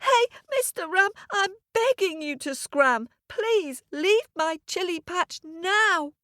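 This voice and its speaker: A woman's voice: very high voice, sing-song, measured pace, a bit bright, no echo, studio quality, voice pushed up, exaggerated voice. A woman voicing an earnest little boy in a children's story, plaintive and singsong as they plead. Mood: tearful begging.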